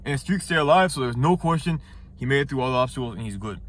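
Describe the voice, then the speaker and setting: A man's voice: medium pitch, lively intonation, fast. A young man with a stuffy, nasal voice from congestion, rambling casually through a competition review with frequent restarts. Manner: rambling, many restarts and fillers. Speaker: ninja competitor reviewing episodes.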